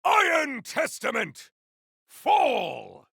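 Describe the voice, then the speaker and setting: Battle-hungry video game warrior: forceful, gravelly, theatrical and declamatory, in a very dry recording. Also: male voice, extremely high pitch, wildly swooping pitch, drawn-out words, no echo, full character voice. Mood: battle fury. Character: proud, battle-hungry warrior with grandiose, formal speech. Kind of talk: video game combat barks.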